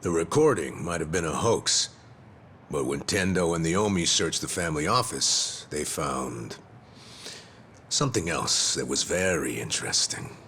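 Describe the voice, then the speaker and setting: An actor playing a sardonic, determined older disgraced cop in a video game drama, with a folksy, taunting way of talking. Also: male voice, extremely low pitch, animated, fairly bright tone, a little hiss or hum, exaggerated voice. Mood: smug. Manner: taunting, folksy, drawn-out delivery. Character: gruff, sardonic older discharged male cop, smug and determined.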